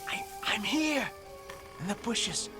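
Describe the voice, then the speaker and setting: A timid, haunted man with a childlike, trusting air, in a radio drama. His hesitant voice is rather high and very lively in pitch, with music behind it. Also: full character voice. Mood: frightened. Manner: slow, hesitant, simple phrasing. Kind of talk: audio drama acting.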